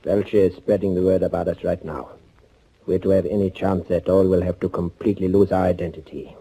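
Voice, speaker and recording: A grim, commanding man in an old 1940s radio thriller. His voice is low and very dark, with a husky edge. He speaks tersely and deliberately, like a man in charge of a dangerous mission. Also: level tone, full character voice. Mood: urgent, resolute.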